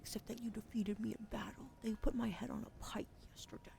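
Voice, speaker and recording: A young woman streamer, chatting softly in an ASMR style and often slipping into whispers while giving tarot readings on a close microphone. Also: on the high side, flat delivery, fast talker. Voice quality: gravelly fry, often whispered. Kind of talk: casual ASMR chat, soft-spoken.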